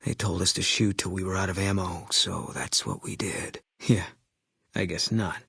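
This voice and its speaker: An actor playing a terse, guilt-haunted ex-army sniper in a video game, deadpan and clipped, with a low husky voice. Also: male voice, moderate pace, dry recording, clean studio sound, heavily acted. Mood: grim, haunted. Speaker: video game companion character.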